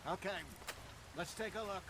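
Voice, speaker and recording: An actor playing a seasoned older American outlaw in a western video game, folksy, dry and wry. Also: male voice, sits high, fairly even intonation, normal speed, natural voice. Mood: dry, strained. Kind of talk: cinematic video game acting. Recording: loud wagon and outdoor noise behind.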